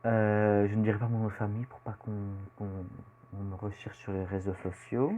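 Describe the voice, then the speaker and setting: Teen boy, Belgian French accent, hesitant rambling vlogger, lowish voice, dull home-camera sound. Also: little pitch movement, a little fast, mellow, a little hiss or hum. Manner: rambling, hesitant with many fillers. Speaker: youtuber. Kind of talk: casual self-introduction vlog.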